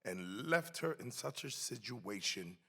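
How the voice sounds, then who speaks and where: A man giving a solemn, emphatic dramatic reading of a historical text in a deliberate, theatrical way. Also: mid-range voice, expressive, sharp tone, breathy edge. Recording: echoey hall with long reverb.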